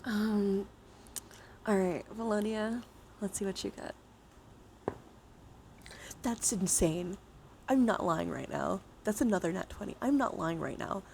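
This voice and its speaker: Casting: female livestream host, mid-pitched, playful and sweary, casual chat delivery, faint noise behind. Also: a little slow, vocal fry, long pauses, darkish tone. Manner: playful, giggly, swears freely. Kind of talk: casual livestream chat with viewers during a dice game.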